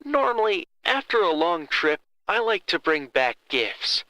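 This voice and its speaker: A vengeful male villain, acted by a man, theatrical and mock-polite, with a sharp, forceful edge. His pitch swoops widely as he slowly spins out his threats in a horror audio drama, recorded close and dry. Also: big pitch swings, dry recording, exaggerated voice, rough recording. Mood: mocking, storytelling. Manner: deliberate, mock-polite, storytelling.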